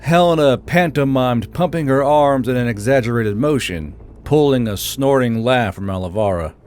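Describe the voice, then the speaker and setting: Slightly low-key male audiobook narrator over music. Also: normal speed, a little mellow. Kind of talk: scripted fantasy audiobook narration.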